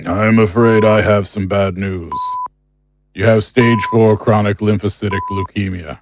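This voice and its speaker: A man's voice: lowish voice, steady tone, a little slow. An actor playing a male dramatic role in an audio drama. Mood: clinical, cold. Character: uneasy man, turning cruel fake doctor in dreams. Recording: clear background noise.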